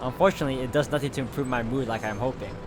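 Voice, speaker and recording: A man's voice: mid-range voice, rapid-fire, background noise. A man reading visual novel narration aloud as he plays through the game. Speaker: video game player and narrator. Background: guitar game music.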